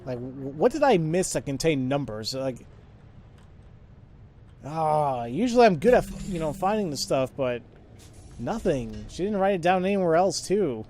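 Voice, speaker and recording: A man with a fairly high-pitched voice gives off-the-cuff gameplay commentary. He rambles as he puzzles things out, mutters through bits of text and puts himself down. The recording carries some light background noise. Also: very animated, measured pace, darkish tone. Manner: rambling, self-deprecating, mumbles through on-screen text. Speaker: let's player. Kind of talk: casual gameplay commentary, thinking aloud.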